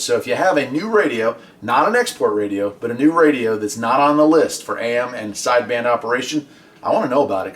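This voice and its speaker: A man's voice: mid-range voice, a bit bright. A man hosting a casual product review vlog, talking in an informal, conversational and opinionated way. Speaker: host, radio hobbyist reviewer. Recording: voice distant from the mic.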